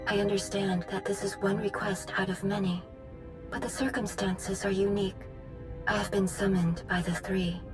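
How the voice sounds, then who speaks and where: Courteous, grave woman for a game, played by an actress: mid-pitched, formal and measured, clear music behind. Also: little pitch movement, natural voice. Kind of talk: dramatic video game voice acting. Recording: clear background music under voice.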